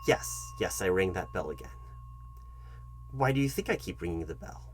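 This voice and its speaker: A man performing a comedic job interview roleplay, speaking straight to the listener in a fussy, halting, repetitive manner. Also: deep voice, expressive, noisy background. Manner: fussy, halting, repeats himself, addresses listener directly. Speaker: ASMR channel host acting as job interviewer.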